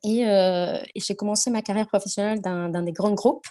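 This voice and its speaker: A woman's voice: mid-range voice, steady tone, fairly quick, warm tone. Argentine woman speaking hesitant French. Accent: Argentine Spanish. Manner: hesitant, many fillers and restarts while searching for French words. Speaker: guest entrepreneur. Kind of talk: casual interview talk.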